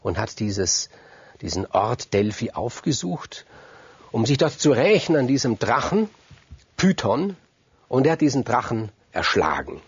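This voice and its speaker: A man's voice: medium pitch, sing-song, unhurried, husky, no room sound, muffled recording. A man telling myths freely, in a conversational way, repeating words for emphasis and speaking straight to his listeners. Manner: conversational, emphatic repetition, addresses listeners directly. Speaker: storyteller. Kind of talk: free oral retelling of Greek myths.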